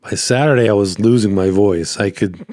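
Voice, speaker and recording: Low-pitched, slightly hoarse man podcasting. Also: darkish tone, no echo. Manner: rambling, halting, repeats phrases. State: hoarse, voice cutting in and out after illness. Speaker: podcast host, small business owner. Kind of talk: casual solo podcast talk.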